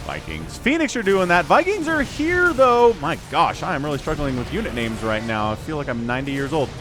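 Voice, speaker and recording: Animated man casting a strategy game. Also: on the high side, a little fast, music in the background. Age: 40s. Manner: animated, excitable, self-deprecating asides. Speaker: game caster. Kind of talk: esports commentary.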